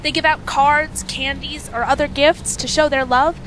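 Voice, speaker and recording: A woman's voice: fairly even intonation, moderate pace, a bit bright. A woman playing a spirited fifteen-year-old schoolgirl in an amateur audio drama. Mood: cheerful. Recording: clearly noisy convention recording, background rumble.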